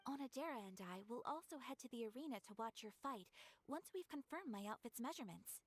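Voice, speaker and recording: A woman voicing a gracious young noblewoman in video game dialogue, speaking calmly, politely and formally. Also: a little high, brisk, no room sound. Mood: gently pleased. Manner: calm, refined, formal, polite.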